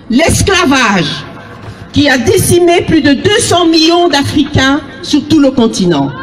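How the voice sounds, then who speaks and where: A woman activist giving an impassioned, emphatic public speech at a rally, shouting her points. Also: very high voice, poor audio. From raised in Belgium. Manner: shouting, emphatic, slow and hammering. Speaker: activist speaker. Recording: live crowd and music behind.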